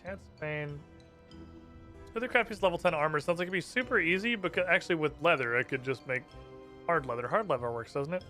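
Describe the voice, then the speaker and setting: Streamer dude, bright kinda high voice, swears a lot, soft game music behind. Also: male voice, a little fast. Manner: swears casually, mutters to himself. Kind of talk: casual gameplay streaming.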